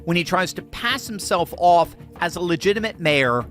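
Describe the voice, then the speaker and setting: A man's voice: high-pitched, animated, moderate pace, a bit bright, faint music behind. A man hosting political commentary, sarcastic and mocking, chuckling between remarks. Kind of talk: commentary on clips.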